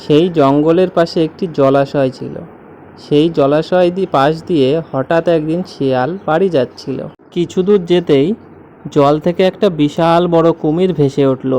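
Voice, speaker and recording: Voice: medium pitch, a little slow, dark tone, a little hiss or hum. A storyteller narrating a scripted children's folktale for a cartoon.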